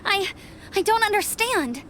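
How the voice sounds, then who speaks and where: A woman's voice: very high-pitched, animated, measured pace, a bit bright, a little hiss or hum, heavily acted. An actress voicing an earnest, puzzled young female adventurer in a video game. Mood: confused.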